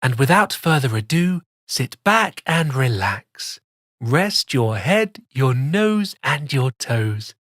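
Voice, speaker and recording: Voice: mid-range voice, big pitch swings, slow, a bit bright, dry recording, studio quality. A children's story host presenting and reading a storybook expressively, in a playful way that speaks straight to young listeners.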